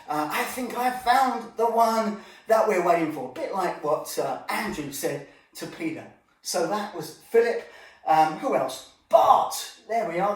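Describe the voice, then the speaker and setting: A man teaching a Bible story to children in an informal, animated way, with asides and exclamations to his young listeners. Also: high-pitched. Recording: echoey room, distant microphone.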